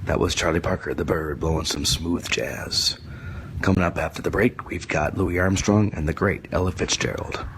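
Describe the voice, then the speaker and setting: A laid-back late-night jazz DJ with a very deep, slow, silky croon, as a man puts him on. The recording has steady outdoor noise behind it. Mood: smooth.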